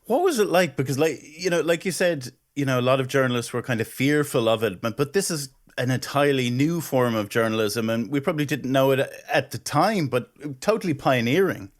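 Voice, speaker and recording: A man's voice: medium pitch, animated, fairly quick, hardly any pauses. A man with a mid-range voice acts as a podcast interviewer. He speaks conversationally, setting up questions for his guest.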